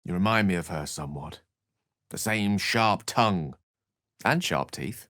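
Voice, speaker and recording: An actor playing an eloquent, wry, romantic scholarly wizard in a fantasy video game, speaking in an articulate, theatrical way. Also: male voice, deep voice, animated, measured pace, fairly bright tone, dry recording, studio quality, exaggerated voice. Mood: earnest, wry.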